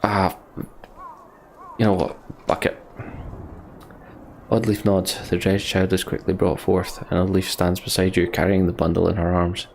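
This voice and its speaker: Low gravelly man reading game text. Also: little pitch movement, unhurried, pauses often, a little mellow, slight background noise, low-quality recording. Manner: sweary, emotional. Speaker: let's play host reading game text aloud. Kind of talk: dramatic narration with casual asides.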